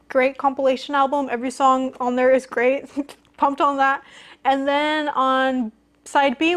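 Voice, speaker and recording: A woman presenting a collection in a casual show-and-tell style, with enthusiastic, informal phrasing and youthful slang. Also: high voice. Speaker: music collecting video host.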